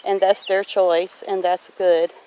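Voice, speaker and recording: A woman's voice: medium pitch, flat delivery, slow. A middle-aged woman talking in a plainspoken, folksy, reflective way, answering questions casually for a homestead vlog. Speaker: homesteading vlogger. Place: outdoors on a farm homestead. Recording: outdoor noise with clicks, farm geese honking. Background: farm animals, geese honking.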